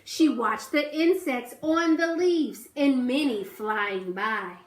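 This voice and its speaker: Lady teacher reading a counting story to little kids, high voice, slow and animated, echoey classroom. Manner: animated, coaxing, asks children questions. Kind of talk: reading a picture book aloud with counting. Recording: roomy echo.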